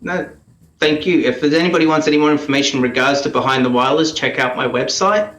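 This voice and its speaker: An Australian man speaking casually as an interview guest, phrasing his thoughts in a halting, thoughtful way. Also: a little high, fairly bright tone, reverberant, soft background music. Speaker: guest, radio play creator and performer. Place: indoors in a house living room.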